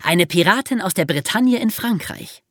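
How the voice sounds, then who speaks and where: A woman telling history as a podcast host, in a lively explanatory storytelling style for young listeners. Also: on the low side, normal speed, no room sound, studio quality.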